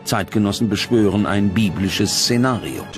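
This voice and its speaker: A man narrating a documentary script in a grave, measured, dramatic way. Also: lowish voice. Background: prominent background music.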